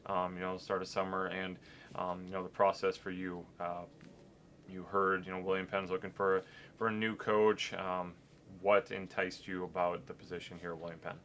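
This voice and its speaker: A man hosting a relaxed sit-down interview, talking casually with lots of fillers. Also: low voice, normal speed, a little hiss or hum. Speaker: athletics media host.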